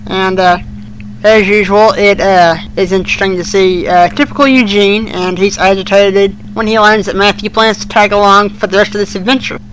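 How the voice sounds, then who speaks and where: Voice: medium pitch, steady tone, normal speed, fairly bright tone, poor audio. A young podcast co-host chatting casually and giving opinions in an informal discussion. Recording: faint background noise.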